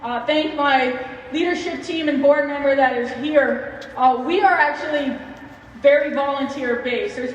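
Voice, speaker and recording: A woman hosting a ceremony as the organization's leader, speaking informally and off the cuff. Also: sits high. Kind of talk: informal unscripted ceremony address. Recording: echoey distant PA with faint noise.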